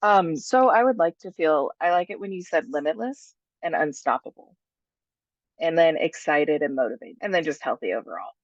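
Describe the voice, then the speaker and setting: A woman's voice: deep voice, animated, normal speed, no echo. A congested woman speaking as a client, hesitant and reflective as she describes her feelings. Her audio sounds dull and dry, as if coming over a remote connection.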